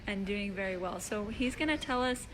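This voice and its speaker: A woman's voice: mid-range voice, expressive, moderate pace. A young woman hosting a casual live-stream interview, talking in an upbeat, chatty way with fillers. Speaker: host interviewer.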